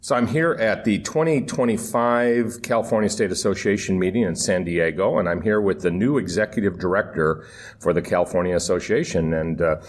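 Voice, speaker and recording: A man's voice: sits low, brisk. A man hosting a podcast, interviewing a guest live at a conference, rambling with many fillers.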